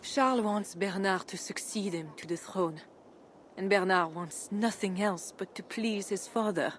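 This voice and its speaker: A woman playing a dignified, guarded and pious medieval Frankish queen in measured, formal video game dialogue. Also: mid-range voice, slight background noise, close to an everyday voice. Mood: earnest, resolute. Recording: music behind the voice.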